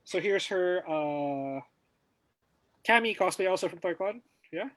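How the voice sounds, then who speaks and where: A man's voice: high voice, expressive, a little slow, fairly bright tone, dry recording, low-quality recording. Eager man hosting a livestream. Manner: hesitant, many filler uhs. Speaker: talk show host. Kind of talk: casual livestream talk show hosting.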